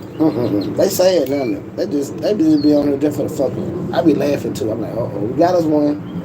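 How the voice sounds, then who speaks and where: Loud-mouthed man ranting on a noisy livestream. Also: a little high, expressive, a little fast. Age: 40s. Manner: boastful, profane, laughing often. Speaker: YouTube livestream host. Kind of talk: casual livestream rant.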